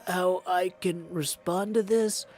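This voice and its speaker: Young goddess for a video game, played by a man: high register, formal, perplexed, sincere, faint music underneath. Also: steady tone, slow, darkish tone. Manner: formal, earnest, questioning. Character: earnest, perplexed young goddess questioning the Fates. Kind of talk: video game character practice.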